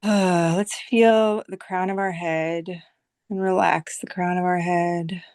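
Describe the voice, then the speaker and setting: A woman leading a guided visualization meditation as a teacher, speaking soothingly in short phrases with long, unhurried pauses. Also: fairly low pitch, steady tone, a little mellow, no room sound, clean studio sound.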